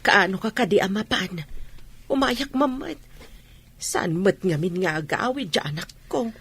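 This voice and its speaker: A woman's voice: mid-range voice, lively intonation, measured pace, a bit bright, slightly rough, heavily acted. A woman playing a worried, fretful village mother in a radio drama. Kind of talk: radio comedy drama dialogue.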